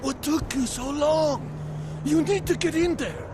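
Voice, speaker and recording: A man's voice: extremely high pitch, unhurried, noisy background. An actor playing a desperate, frightened, pleading father in a video game. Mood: distraught, fearful. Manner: agitated, pleading. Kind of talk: video game cutscene acting.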